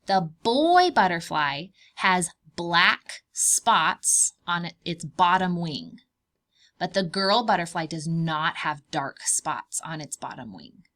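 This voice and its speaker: A woman with a slightly low voice reads a nonfiction picture book to preschool children. She explains things in simple words, stresses key words expressively and often asks her young listeners questions. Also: very animated, takes it slowly, a bit bright. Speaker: children's read-aloud host and preschool teacher. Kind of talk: read-aloud lesson for young children.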